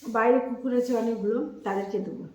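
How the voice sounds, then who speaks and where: A woman's voice: on the high side, steady tone, fast, mellow. A woman chatting casually to viewers as a food vlogger while eating, repeating herself in a familiar, friendly address. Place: indoors at a dining table at home. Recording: echoey room with faint background noise.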